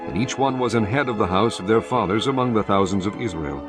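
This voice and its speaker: A man narrating scripture in a measured, solemn scripted reading. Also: on the low side. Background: music underneath.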